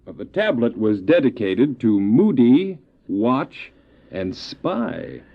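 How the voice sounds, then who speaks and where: An actor playing a polite, curious traveller in an audio drama, speaking in a halting, inquisitive way. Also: male voice, mid-range voice, very animated, gravelly, narrow, tinny audio, exaggerated voice. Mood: curious, hesitant. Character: curious, polite, hesitant male traveller visiting the hospital. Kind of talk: radio drama acting. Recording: thin, band-limited old cassette drama.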